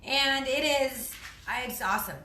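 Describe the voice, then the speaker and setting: A woman fitness trainer chatting casually through a home cooking vlog, hoarse from losing her voice, rambling and clearing her throat. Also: high voice, normal speed, sharp tone, reverberant, slight background noise. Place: home kitchen.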